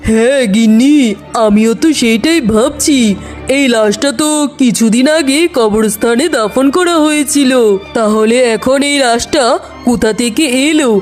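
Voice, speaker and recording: A woman voicing a concerned, authoritative male tiger with a deliberate, questioning manner in a children's cartoon. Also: fairly high pitch, darkish tone, lightly acted. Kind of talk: animated children's story dialogue. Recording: music behind.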